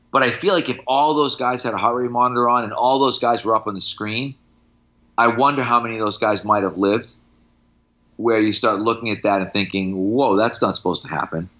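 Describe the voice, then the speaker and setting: A man's voice: mid-range voice, pushed and bright, highs cut off. A middle-aged man, a strength coach, talking casually on a podcast in anecdotes and examples, with firm opinions.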